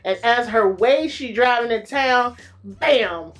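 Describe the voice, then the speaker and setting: A woman recapping a movie in a casual, animated way, full of slang and playful exclamations. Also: fairly high pitch, slow. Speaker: movie reviewer.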